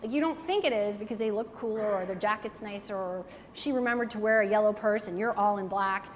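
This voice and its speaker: Animated woman speaker in a reverberant hall. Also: mid-range voice, quick pace. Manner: candid, joking, animated, frequent "like". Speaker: networking speaker. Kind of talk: lively talk to an audience, taking questions. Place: room with a live audience. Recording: hall reverb, faint background noise.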